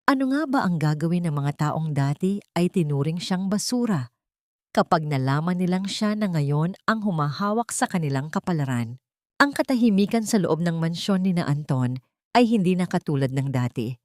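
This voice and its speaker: A woman's voice: fairly low pitch, expressive, moderate pace, darkish tone, no room sound, clean studio sound. A woman storyteller reading scripted, dramatic fiction narration as a voice-over. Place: dry, close voice-over recording.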